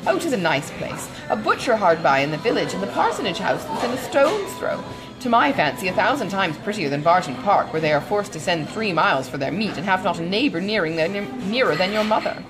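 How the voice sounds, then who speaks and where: A woman's voice: mid-range voice, big pitch swings, a little fast, music in the background, close to an everyday voice, rough recording. A woman voicing a chatty, good-natured older matron in a storybook, gushing and rambling with many exclamations. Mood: gleeful, rambling.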